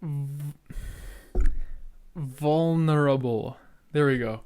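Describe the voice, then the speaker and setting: A man's voice: fairly high pitch, darkish tone, smooth. A young man giving casual, earnest reaction commentary as a podcast host, stumbling and repeating words now and then. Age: young adult. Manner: halting, stuttering, repeats words.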